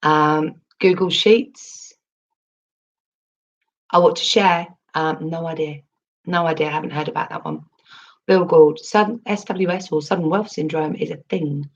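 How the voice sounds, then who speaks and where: Female livestream host, low husky voice, chatty and rambling, dull lo-fi mic. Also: fairly even intonation, a little slow. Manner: chatty, rambling, with frequent ums and restarts. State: recovering from losing her voice. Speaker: crypto livestream host reading chat. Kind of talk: casual livestream chat.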